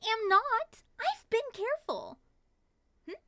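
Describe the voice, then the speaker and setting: A woman playing an excitable, affectionate moth monster girl in an ASMR roleplay, gushing and sweetly possessive. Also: very high voice, slow, no echo, exaggerated voice. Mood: giddy, excitable.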